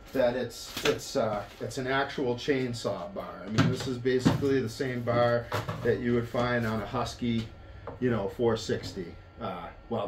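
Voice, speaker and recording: Echoey room w faint noise, north american guy doing a casual tool review, offhand and plain spoken. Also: mid-range voice. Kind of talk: casual hands-on product review. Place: indoor wooden workshop.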